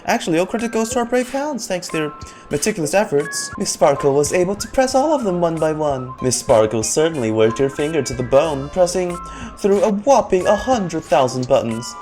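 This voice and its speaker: Very low-voiced woman streamer, animated. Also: fairly quick, soft background music. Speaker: streamer reacting to a game. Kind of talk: casual reaction commentary, reading game text aloud.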